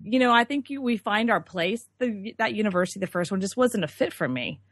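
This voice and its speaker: A woman's voice: medium pitch, expressive, a little fast, no room sound. A woman from Texas with no regional accent, a business coach telling her story as an interview guest in a chatty, candid way with many fillers.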